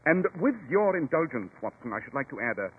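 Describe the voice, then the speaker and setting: An actor in a 1940s radio drama playing a shrewd, courteous English detective, formal and self-assured, with a British accent. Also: male voice, mid-range voice, sing-song, fast talker, slight background noise, close to an everyday voice. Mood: genial, wry. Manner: precise, formal, authoritative. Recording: muffled, tinny 1940s radio recording.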